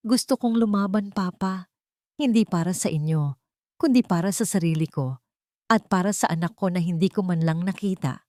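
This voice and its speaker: Woman as wronged daughter, Filipino accent, firm, determined, low voice, dry audiobook sound. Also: normal speed, a little mellow, no echo, close to an everyday voice. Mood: resolute. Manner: firm, resolute.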